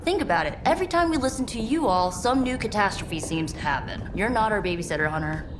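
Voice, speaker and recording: Casting: spirited youthful heroine voiced by a woman, slangy team leader, determined game dialogue over clear background noise. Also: medium pitch, expressive, quick pace, close to an everyday voice. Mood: earnest, rallying. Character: spirited young female superhero team leader, pep-talking, casual, determined. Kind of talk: video game voice acting. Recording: noisy game sound effects behind.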